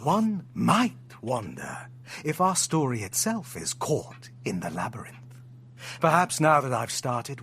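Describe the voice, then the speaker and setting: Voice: mid-range voice, fairly bright tone, slight background noise. An actor in an audio drama playing a playful, theatrical storyteller who narrates with grand melodrama and teasing asides. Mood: musing, ominous. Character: playful, theatrical storyteller friend spinning a myth at home.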